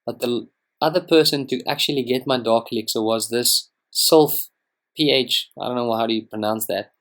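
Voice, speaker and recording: A young man whose first language is Afrikaans gives relaxed, rambling commentary over strategy game footage, with frequent ums and restarts. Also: medium pitch, normal speed. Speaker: gaming channel host. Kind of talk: casual gameplay commentary.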